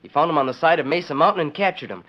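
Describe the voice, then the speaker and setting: An actor playing an eager, earnest young companion to a western hero, in 1940s radio style. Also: male voice, fairly high pitch, rapid-fire, close to an everyday voice. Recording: muffled 1940s radio recording.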